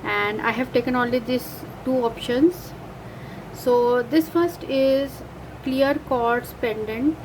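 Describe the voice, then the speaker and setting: A woman's voice: fairly high pitch, steady tone, takes it slowly, background noise. A woman with an Indian English accent and Hindi as her first language, giving a casual card reading with frequent hesitations and self-corrections. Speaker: card reader.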